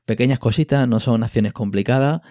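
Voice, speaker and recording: A man's voice: medium pitch, brisk, warm tone, no room sound, studio quality. A man with an accent from Spain, enthusiastically explaining in a casual board game podcast chat, often checking in with tag questions. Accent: Spanish (Spain). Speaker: podcast co-host.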